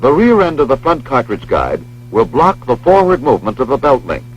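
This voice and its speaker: American male training film narrator, lively intonation. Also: medium pitch, husky. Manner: measured, precise, formal. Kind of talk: scripted instructional narration. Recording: dull lo-fi film soundtrack, humming noise.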